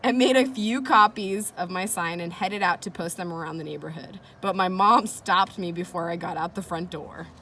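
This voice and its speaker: A woman's voice: fairly low pitch, moderate pace, hardly any pauses, bright, forceful tone, slight background noise. A woman reading a children's chapter book aloud, speaking directly to young listeners in a chatty way. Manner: engaging young listeners with questions and commentary. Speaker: read-aloud host.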